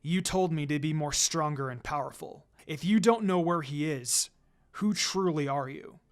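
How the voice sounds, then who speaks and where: A narrator as a curt, brooding young ninja man, low. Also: moderate pace, close to an everyday voice. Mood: stern. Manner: terse, stern, demanding.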